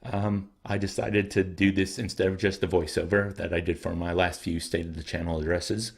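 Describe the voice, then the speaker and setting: A man hosting his own channel, talking casually and thoughtfully to his viewers, often pausing to weigh his words, his throat a little dry and scratchy. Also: low-pitched, flat delivery, gravelly, breathy edge. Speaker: channel host.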